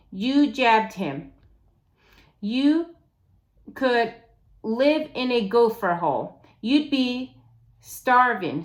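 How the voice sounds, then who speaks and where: A woman's voice: a little high, pitched above the performer's own voice. A woman voicing a feisty, bossy young girl from a storybook, insistent and sarcastic as she pleads. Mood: exasperated, sarcastic.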